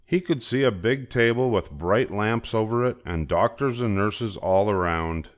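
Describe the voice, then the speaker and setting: Older male storybook narrator, very low voice, expressive read-aloud for young children. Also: unhurried. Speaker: storybook reader.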